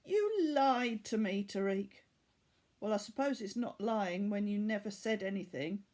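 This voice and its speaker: A woman's voice: mid-range voice, lively intonation, unhurried, a little mellow, lightly acted. A woman voicing a frightened, headstrong girl in a children's audiobook, emphatic and reproachful. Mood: hurt, indignant. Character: frightened, indignant girl, bound captive, headstrong.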